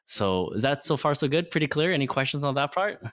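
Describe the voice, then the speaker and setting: A man's voice: mid-range voice, lively intonation, a little fast, fairly bright tone, no room sound, studio quality. A man in his forties whose first language is Vietnamese, a podcast guest explaining ideas earnestly in simple phrases. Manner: earnest, simple phrasing, frequent "right?" tags. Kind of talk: motivational interview talk.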